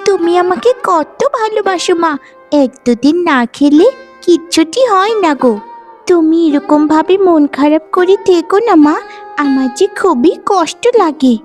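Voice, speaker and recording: A woman voicing a gentle, self-sacrificing young village girl in a cartoon, soothing and pleading. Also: very high-pitched, measured pace, faint music behind. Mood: consoling. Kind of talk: cartoon voice acting in a moral story.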